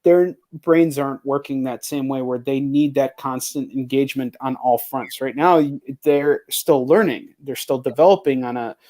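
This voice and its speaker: A man's voice: mid-range voice, moderate pace, few pauses, darkish tone. A man hosting a casual live interview, talking in an informal, slangy way with lots of "like" and "you know.". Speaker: host of a live chat show. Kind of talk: casual video chat interview.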